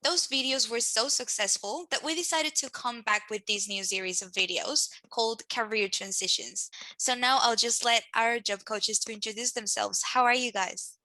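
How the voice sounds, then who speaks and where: Woman from Mexico, young adult, host, upbeat, crisp bright tone, close dry sound. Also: a little high, normal speed, few pauses, no room sound, low-quality recording. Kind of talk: friendly panel chat.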